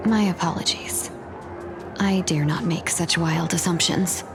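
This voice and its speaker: A woman voicing a poised, courteous and wary advisor in a video game, speaking politely and deliberately. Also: on the low side, over music. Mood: wary, inquiring.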